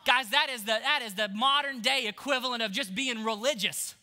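A man in his thirties preaching an energetic sermon on a church stage, swinging from hushed lines to shouts. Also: very high voice, a little fast. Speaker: pastor.

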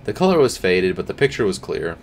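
Rich: A young man with a very low voice reads a spooky story aloud at his home streaming desk. His pitch swings widely as he reads, and he slips into hushed comments between lines. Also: very animated, a little fast, a little hiss or hum. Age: young adult. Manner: reads with slips and restarts, drops to quiet asides. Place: home streaming room, indoors.

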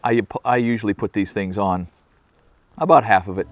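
A man's voice: on the low side, normal speed. An older man, a carpenter, explaining his work in a plainspoken, practical way at an outdoor building site.

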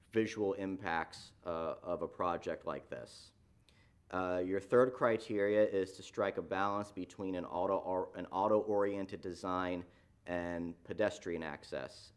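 Municipal planner, low voice, measured explanatory delivery, lots of "uh"s and restarts. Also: male voice. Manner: explanatory, frequent fillers and restarts. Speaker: city planning staff presenter. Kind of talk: staff report at a public meeting. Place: council chamber.

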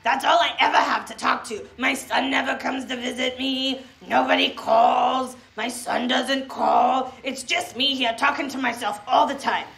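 A woman's voice: sits high, fairly even intonation, unhurried, hardly any pauses, fairly bright tone, heavily acted. An actress playing a chatty, eccentric mother who rambles with dramatic self-pity in an audio drama. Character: chatty, eccentric, guilt-tripping mother held captive. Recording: clear background noise.

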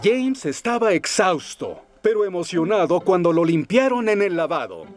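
A man's voice: sits high, sing-song, moderate pace. A man with a Latin American accent giving scripted children's storybook narration. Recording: music bed behind narration.

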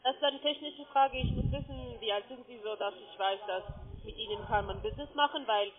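A woman with a foreign accent, a call centre agent who speaks in a hesitant, halting way. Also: a little high. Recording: tinny phone line.

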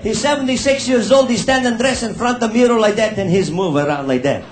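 A man's voice: high voice, brisk. A man telling jokes live on stage in broken English, laughing through the punchlines and switching voices for the characters. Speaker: stand-up comedian. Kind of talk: live joke telling in dialect humor. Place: live stage before an audience. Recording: echoey room, crowd and noise.

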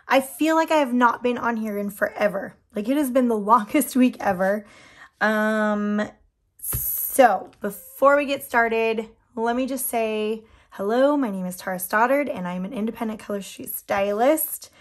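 Chatty woman host, slightly high, unhurried, voice a bit worn from losing it. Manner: chatty and rambling, frequent ums and laughs. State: losing her voice. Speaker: nail product seller and channel host. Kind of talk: casual chat while doing a manicure. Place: indoors at a tabletop.

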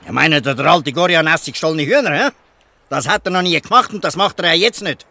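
An old fisherman with a Swiss German accent, in a bright, forceful voice pitched a little high. He is gruff and scolding but good-hearted, in the manner of a dialect radio play. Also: brisk, dry recording. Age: older.

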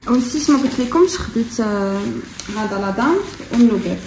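A young woman in her twenties with a Moroccan accent, chatting casually through a home vlog, relaxed and full of hesitations. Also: fairly high pitch, quick pace. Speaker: vlogger. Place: apartment kitchen, indoors. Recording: echoey room, distant mic, noisy background.

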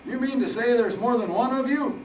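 A man voicing a stubborn, wisecracking old military veteran in a storybook reading, with folksy, gruff talk. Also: high-pitched, expressive, moderate pace, voice pushed up. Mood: curious, teasing. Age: older. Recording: roomy, distant mic, faint noise.

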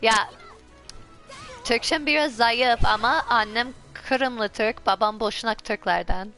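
A young American woman from Ohio streaming a fighting game while sick, coughing now and then. She chats with viewers and squeals and yells at the game. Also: fairly high pitch, slow pace. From Ohio, USA. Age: young adult. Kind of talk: casual game stream chat. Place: home room, indoors. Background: faint game music.

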